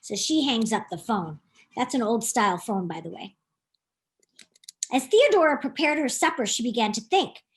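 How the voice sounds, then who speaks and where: A woman reads a children's story aloud as its author. Her voice is high, with wide, lively swings in pitch. She is expressive and turns to the young listeners with questions as she goes. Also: moderate pace. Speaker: author reading her own book. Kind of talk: animated children's storytime narration.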